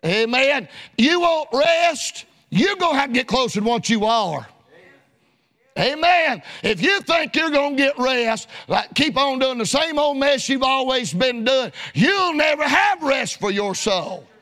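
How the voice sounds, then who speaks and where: A man delivering a fiery sermon in a church. His voice is very high and bright, and he shouts in a rhythmic preaching cadence, breaking it up with frequent amens. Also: big pitch swings, a little slow.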